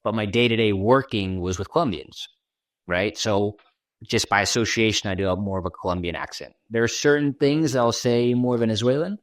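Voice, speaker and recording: Low-voiced American man chatting in an interview. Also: moderate pace, dry recording, clean studio sound. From Washington D.C., USA. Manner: relaxed, chatty, many fillers like "you know" and "right". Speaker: podcast guest, content creator. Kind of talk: casual interview conversation.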